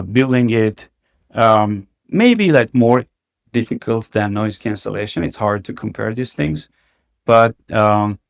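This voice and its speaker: A man's voice: on the low side, gravelly. A man, a company CEO and podcast guest, talking casually in an interview and filling his sentences with "like" and restarts. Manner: heavy use of the filler "like", frequent restarts.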